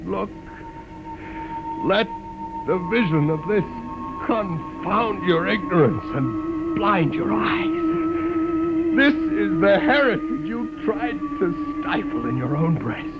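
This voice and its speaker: A man's voice: high voice, sing-song, slow pace, pauses often, lo-fi sound, over loud music, exaggerated voice. An actor playing a defiant, idealistic young man in a 1950s radio drama, speaking in an impassioned, declamatory way. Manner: impassioned, pleading then declamatory. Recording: hissy old radio transcription.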